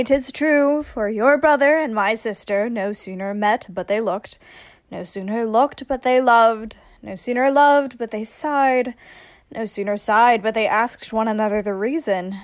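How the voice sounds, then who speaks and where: A woman's voice: fairly high pitch, a little slow, no room sound, clean studio sound, close to an everyday voice. A woman playing a witty young woman disguised as a youth in a Shakespearean radio play. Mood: lively wit.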